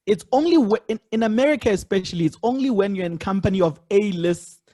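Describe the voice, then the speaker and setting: A man's voice: high-pitched, lively intonation, quick pace, mellow. A man hosting a podcast, chatting casually with his guest and interjecting often in agreement. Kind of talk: casual interview chat with frequent affirmations.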